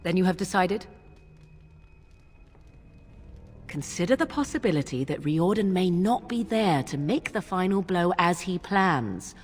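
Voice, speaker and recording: An actress playing a proud, guarded witch in a fantasy video game, speaking in formal, archaic phrasing with cool, deliberate persuasion. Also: fairly low pitch, animated, breathy edge, big-room sound, soft background music. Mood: challenging, probing.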